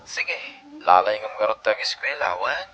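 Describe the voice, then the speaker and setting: A man playing a gentle, affectionate father and husband in a sentimental audio drama, with a Filipino accent. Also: lowish voice, expressive, normal speed, dull audio. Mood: fond.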